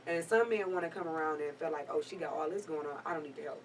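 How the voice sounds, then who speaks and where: A young woman in her twenties gives her candid, unhurried view on a discussion panel. She sits a little away from the microphone, with faint room noise underneath her voice. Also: low voice, far from the mic. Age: 20s. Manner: candid, laid-back, drawn-out phrasing. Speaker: guest panelist. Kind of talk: casual panel chat.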